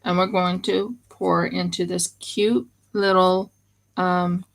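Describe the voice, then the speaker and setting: A woman's voice: lowish voice, flat delivery, takes it slowly, creaky. An American woman hosting a resin craft livestream, chatting casually and thinking aloud with hesitant fillers as she works. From United States. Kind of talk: casual chat.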